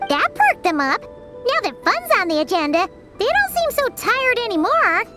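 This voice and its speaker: A woman voicing a tiny, chirpy, frank fairy girl with a squeaky childlike voice in an English video game dub. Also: sing-song, normal speed, over loud music. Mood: observant, cheerful. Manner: refers to self by name, exclaiming.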